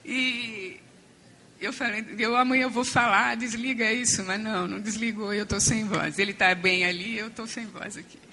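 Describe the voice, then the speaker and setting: A woman speaks at a conference with a hoarse, strained voice, near to losing it. Her tone is bright and sharp despite the hoarseness. She explains step by step, pausing on fillers and starting sentences over. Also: a little high, fairly even intonation, a little hiss or hum. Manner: explanatory, with frequent fillers and restarts. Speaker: conference panel speaker on addiction treatment. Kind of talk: academic conference talk. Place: conference hall.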